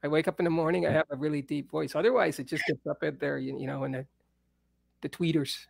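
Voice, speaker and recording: A man's voice: on the high side, fairly even intonation, fast talker, creaky, no echo. A middle-aged man hosting a casual live-stream chat from his home studio, talking in a laid-back, rambling way with frequent laughs. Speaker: host. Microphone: studio mic on a stand.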